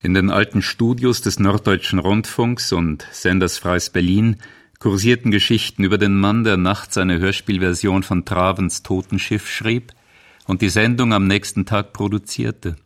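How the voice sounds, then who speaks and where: A man's voice: sits low, steady tone, moderate pace. A man reading scripted narration for a radio documentary as its author and narrator.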